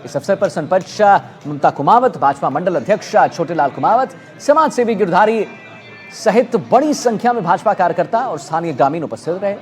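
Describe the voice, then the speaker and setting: A man's voice: sits high, lively intonation, a little fast, darkish tone, faint music behind. A man presenting live television news as an anchor.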